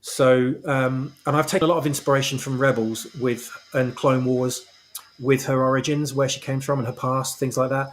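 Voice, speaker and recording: Man, medium pitch, guest author, casual interview talk, emphatic, opinionated, explanatory. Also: fairly even intonation, normal speed. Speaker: guest author of fan fiction. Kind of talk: casual interview chat about his own story.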